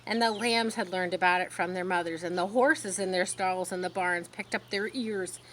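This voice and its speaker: A woman reading a children's story aloud expressively, pausing to explain words in simple asides. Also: fairly low pitch, a bit bright, slight background noise. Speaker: storybook reader.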